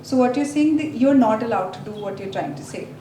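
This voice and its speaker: A woman with an Indian accent giving a legal presentation at a conference, explaining in a measured way with frequent fillers. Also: a little high, animated, mellow, far from the mic. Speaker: legal expert speaker. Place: large conference hall. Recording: echoey hall, speaker off-mic, faint noise.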